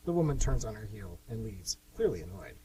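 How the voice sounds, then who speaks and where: A man's voice: fairly low pitch, big pitch swings, fairly quick, mellow, poor audio. A man hosting and narrating a scripted amateur radio play. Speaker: host and narrator. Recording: amateur radio with clear hum noise.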